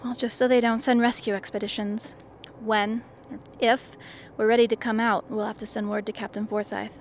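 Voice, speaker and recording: A woman's voice: fairly high pitch, flat delivery, normal speed, natural voice. A woman playing a worried, weary expedition commander in a radio play. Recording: faint background noise.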